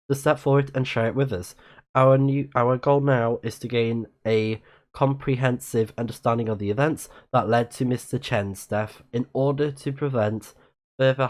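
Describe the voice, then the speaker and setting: A man doing casual let's-play commentary on a livestream, often reading in-game text aloud and correcting himself when he stumbles. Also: mid-range voice. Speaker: livestreaming gamer and channel host.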